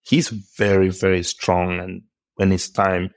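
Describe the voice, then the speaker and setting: A man with a very low voice speaks as an interview guest. He talks in a reflective, unhurried way, thinking aloud and often dropping in "you know". The recording is dry and close, with little room sound. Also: little pitch movement, dry recording. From Cameroon. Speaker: podcast guest, neurotechnologist. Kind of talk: casual interview conversation.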